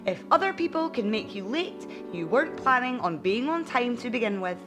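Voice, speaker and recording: A woman voicing a strict but gentle male mentor in an audiobook, authoritative and calm, with music behind. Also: a little high, moderate pace, voice pushed up. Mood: calm, firm.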